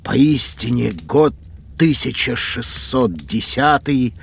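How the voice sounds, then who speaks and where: A Renaissance man of science, proud and absorbed in his work. He speaks very slowly in a mid-pitched voice, with theatrical pauses, as in an educational radio play. A faint ambience sits behind the voice. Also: creaky, slight background noise. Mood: inspired. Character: proud, absorbed Italian scientist and inventor of the 1600s. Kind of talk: acted children's educational audio drama.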